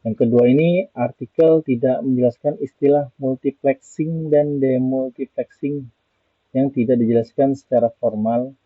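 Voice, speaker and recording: The audio is thin and narrowband like a phone line, with very little room sound. An Indonesian man with a slightly rough, husky voice presents slides in an informal way. He explains point by point, haltingly, often repeating himself. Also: medium pitch, no echo. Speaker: student presenter reviewing an article.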